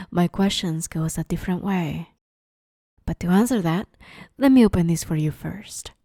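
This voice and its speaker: A sadistic vampire woman, played by a female ASMR performer, toys with her captive in a lowish, mellow voice. She is teasing and falsely sweet, with menace under every phrase. The sound is close and dry. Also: dry recording. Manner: teasing, mockingly sweet, menacing, often hushed. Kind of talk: ASMR roleplay, dramatic monologue.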